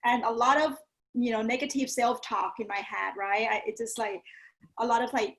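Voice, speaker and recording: A woman's voice: fairly high pitch, steady tone, moderate pace, a bit bright. A woman from Thailand with a Thai accent, a native Thai speaker, talking personally and motivationally as an interview guest, often checking in with "right?". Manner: often adds "right?" and "you know". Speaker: guest, yoga teacher and business coach.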